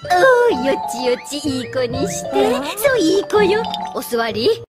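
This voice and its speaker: High, gentle pony vet. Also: female voice, sing-song, loud music behind the voice, exaggerated voice. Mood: puzzled, soothing. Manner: slow, coaxing. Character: gentle animal doctor pony, soothing and unhurried. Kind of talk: animated series dub acting.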